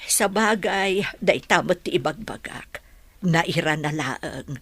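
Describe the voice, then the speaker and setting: A woman's voice: fairly low pitch, slow, a bit bright, heavily acted. A woman playing a concerned, motherly older woman in conversational radio drama.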